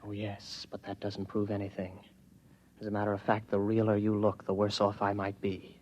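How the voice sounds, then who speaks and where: A man playing a low-voiced, weary space officer. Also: completely flat delivery, normal speed, darkish tone, highs cut off, lightly acted. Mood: sardonic, probing. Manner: musing, sardonic, detached. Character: weary, wry, isolated male space station officer. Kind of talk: 1950s radio drama acting. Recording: vintage 1950s radio broadcast.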